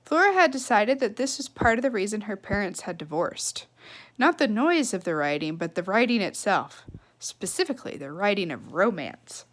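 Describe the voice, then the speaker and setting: A woman reading a children's novel aloud with expressive, emphatic phrasing and casual asides. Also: medium pitch, normal speed. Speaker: read-aloud storyteller.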